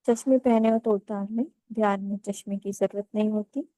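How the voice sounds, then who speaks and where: A woman leading a guided meditation, giving calm, unhurried instructions in short phrases with pauses. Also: mid-range voice, dry recording.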